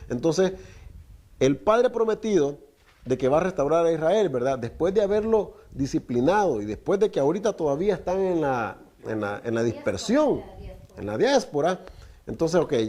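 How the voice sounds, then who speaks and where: Male preacher, middle-aged, native Spanish speaker, earnest teaching tone, mellow timbre. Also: a little high. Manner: preaching with rhetorical questions, often addresses listeners as brother. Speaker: teacher of a Torah portion. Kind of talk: Spanish-language Torah teaching.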